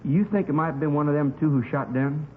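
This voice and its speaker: A man's voice: on the high side, fast, close to an everyday voice. A man with an American accent playing a folksy, talkative working-man witness in a 1950s radio drama, rambling earnestly in colloquial speech. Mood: eager, helpful. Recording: tinny, hissy 1950s radio recording.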